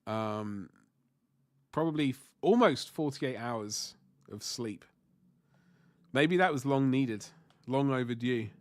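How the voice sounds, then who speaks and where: Give me a man with a cold, chatting loosely with his stream viewers, mid-pitched voice. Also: takes it slowly. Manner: rambling, self-correcting, mild swearing. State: stuffy and sore-throated from flu. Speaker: livestream host painting miniatures. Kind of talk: casual chat answering viewer comments.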